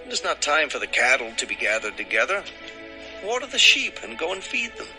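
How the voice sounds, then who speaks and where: A man's voice: a little high, huge pitch swings, brisk, bright, forceful tone, faint music behind, exaggerated voice. A man playing an earnest young shepherd and suitor in a dramatized scripture production. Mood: eager, inquiring.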